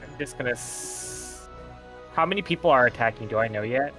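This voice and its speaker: Man, medium pitch, hesitant, casual game chat, clear background music. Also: a little fast. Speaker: tabletop game player.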